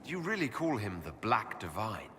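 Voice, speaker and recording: A polite, curious elf hero in a video game. The voice is bright and direct with lively intonation. It carries the reverb of a large hall. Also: male voice, fairly low pitch. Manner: direct, inquisitive, measured. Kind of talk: video game dialogue acting. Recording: reverberant hall, faint background noise.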